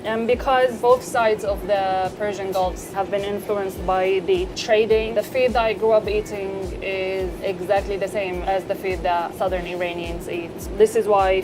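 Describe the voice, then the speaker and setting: A woman chef from eastern Saudi Arabia, talking through a cooking demonstration in a kitchen with measured, gently encouraging explanations. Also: medium pitch. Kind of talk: cooking demonstration with calm step-by-step instructions. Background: background music.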